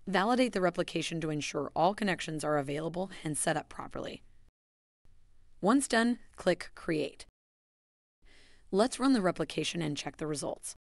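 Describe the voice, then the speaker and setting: A woman giving a scripted, even voice-over that walks through software steps. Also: lowish voice, moderate pace, creaky, pauses often, no echo, studio quality. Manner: even, instructional delivery. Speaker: software tutorial narrator.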